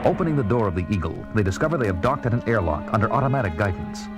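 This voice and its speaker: A man's voice: lowish voice, big pitch swings, very fast. A man reading scripted narration for an audio drama, telling the story as it happens. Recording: loud music behind narration.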